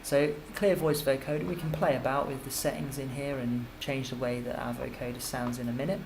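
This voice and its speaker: Informal man teaching, clearly noisy recording. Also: mid-range voice, fairly even intonation, fairly quick. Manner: informal, explanatory, step-by-step. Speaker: music technology teacher. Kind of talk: software tutorial narration. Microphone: computer microphone.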